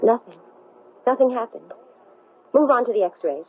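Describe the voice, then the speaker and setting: A woman's voice: fairly high pitch, expressive, normal speed, soft background music, natural voice. A woman playing a desperate, insistent astronaut possessed by an alien, urgent and pleading, in a 1970s radio drama. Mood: distressed. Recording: muffled, narrow-band old radio.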